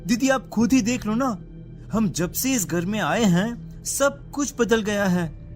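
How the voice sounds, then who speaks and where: An actor playing an anxious, suspicious younger brother in a cartoon drama, urgent and insistent. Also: male voice, high voice, expressive, slow. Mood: urgent, worried. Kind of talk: dramatic cartoon story acting. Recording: music behind the voice.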